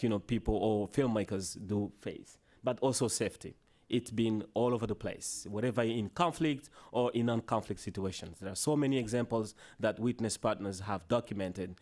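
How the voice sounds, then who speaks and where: A man whose first language is Swahili gives a conference presentation with slides, in a halting way full of restarts and "you know" fillers. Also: on the low side, darkish tone. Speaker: conference panelist. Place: conference hall.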